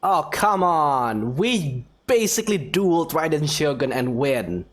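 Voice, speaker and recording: A woman's voice: low voice, very animated, unhurried. A woman gamer giving casual, wry and playful commentary while reading some game dialogue aloud. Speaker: gaming streamer.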